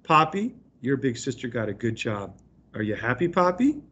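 A man voicing an excited, proud young girl in a storybook read-aloud. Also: medium pitch, normal speed, breathy edge, dull audio, full character voice. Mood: tender, proud. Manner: eager, proud.

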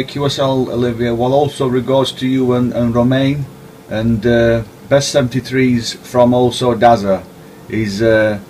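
A man working as an amateur radio operator, speaking deliberately in radio-contact style and carefully spelling out letters and numbers. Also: medium pitch, darkish tone, a little hiss or hum, poor audio. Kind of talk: radio contact with call signs spelled phonetically. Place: indoors at a radio set.

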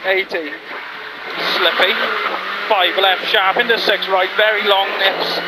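A rally co-driver reading pace notes in clipped calls over a headset inside a car. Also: male voice, high voice, fairly even intonation. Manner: clipped rapid-fire calls. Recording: in-car intercom over loud engine. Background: loud engine and road noise.

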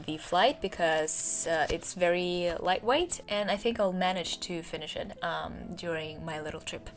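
A woman's voice: lowish voice, a bit bright. A young woman whose first language is Russian, chatting about books at home into a desk mic, with frequent ums and uhs. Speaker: book vlogger. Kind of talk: casual book talk. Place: home room with bookshelves, indoors. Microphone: desk podcast mic on a boom arm.

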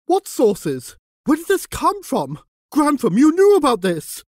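An actor playing a hot-headed male junior minister who shouts frantically from across the room in a radio comedy. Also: very high-pitched, huge pitch swings, a little slow, no echo, studio quality, full character voice. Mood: frantic.

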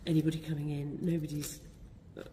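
A woman's voice: low voice, little pitch movement, quick pace, warm tone. A woman, a church warden, informally explaining things to a visitor in a hesitant way inside a church. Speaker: church warden acting as guide. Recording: reverberant church, clear background noise.

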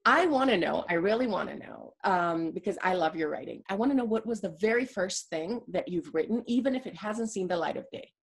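Slightly husky woman moderating online. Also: on the low side, expressive, fairly quick, hardly any pauses. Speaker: moderator, film producer. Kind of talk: interviewing on a video call. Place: living room indoors, on a video call.

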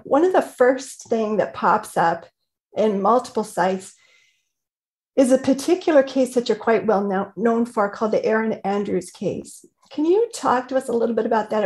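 A woman with a fairly high voice hosts an interview over a webcam. She is enthusiastic and effusive and laughs easily between questions. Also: darkish tone. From Indiana. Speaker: host. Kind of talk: interview host. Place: home room. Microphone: video call webcam.

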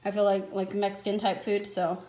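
A woman's voice: medium pitch, little pitch movement, moderate pace. An American woman in her thirties chats informally in a rambling, explanatory way, like a family vlogger showing groceries. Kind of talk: casual grocery haul vlog chat. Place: indoor home kitchen at a counter. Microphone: handheld phone behind the camera. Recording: echoey room, crinkling package noise. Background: plastic packaging crinkles and clicks.

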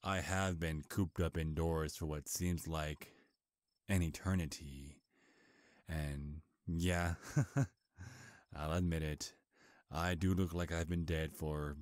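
A man playing a charming, sly male vampire in an intimate ASMR roleplay, soft-spoken, flirtatious and hesitant, with deliberate delivery. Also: extremely low pitch, dry recording, clean studio sound. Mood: coy, awkward. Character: charming, sly male vampire, centuries old, courting prey. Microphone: close, dry microphone.

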